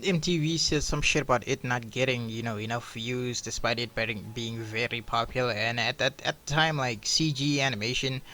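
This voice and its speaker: A man's voice: mid-range voice, steady tone, moderate pace, few pauses, bright, forceful tone. A man giving a casual, rambling video review, slangy and sweary, peppered with "bro" and "dude". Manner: slangy, profane, says "bro" and "dude" often.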